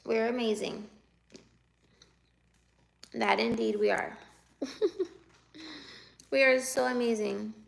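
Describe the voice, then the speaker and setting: A woman's voice: a little high, lively intonation, takes it slowly, gravelly, long pauses, low-quality recording. A woman in her twenties chatting on a livestream, teasing and laughing as she answers viewer comments aloud. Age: 20s. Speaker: livestreamer reading chat. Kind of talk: casual live chat.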